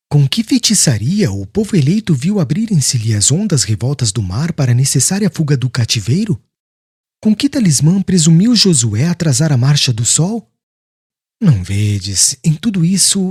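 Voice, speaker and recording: A man's voice: fairly high pitch, husky, no echo, clean studio sound, exaggerated voice. A man playing a serene, devout accused man who speaks humbly, solemnly and firmly in an audiobook. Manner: solemn, murmuring, preaching. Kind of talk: dramatised audiobook acting.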